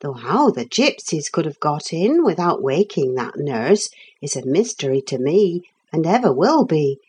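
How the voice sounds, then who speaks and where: A woman's voice: deep voice, very animated, unhurried, a little mellow, husky, exaggerated voice. A woman reading aloud, voicing a blunt, grumbling older cook with working-class speech.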